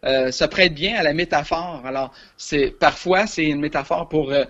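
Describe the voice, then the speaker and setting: A man's voice: on the high side, normal speed, fairly bright tone, highs cut off. A man in his forties with a Quebec French accent, a film columnist chatting on the radio, hesitant with many filler sounds. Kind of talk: casual radio chat.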